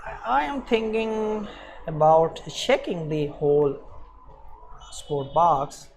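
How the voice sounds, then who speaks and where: A man presenting an unscripted coding screencast, thinking aloud in a halting way as he works. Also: fairly high pitch, lively intonation, gravelly, noisy background. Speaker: programming tutorial presenter.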